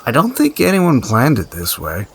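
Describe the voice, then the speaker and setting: Man playing a gravelly, blunt tinkerer. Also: medium pitch, wildly swooping pitch, a little hiss or hum. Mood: awkward. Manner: halting, gruffly casual, profane. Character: practical, blunt, uneasy male tinkerer and survivor. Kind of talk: audio drama dialogue, naturalistic.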